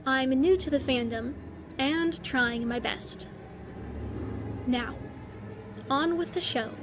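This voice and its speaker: A woman reading fan fiction aloud as a podfic narrator, measured and deliberate with dramatic pauses. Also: high voice, noisy background.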